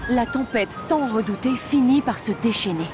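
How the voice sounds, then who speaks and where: Une femme qui raconte un dessin animé pour enfants, sur un ton clair de conteuse. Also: female voice, fairly high pitch, expressive, normal speed, loud music behind the voice. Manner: clear, storytelling. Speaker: narrator. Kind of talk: scripted children's story narration.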